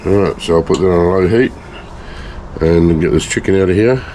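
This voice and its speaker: A man with a hoarse, failing voice from a bad cough, casually talking viewers through his home refining work in a chatty, rambling way. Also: deep voice, brisk, dark tone, slight background noise. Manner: chatty, rambling, self-mocking asides. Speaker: hobbyist gold refiner and video maker. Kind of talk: casual talk-through of a lab process.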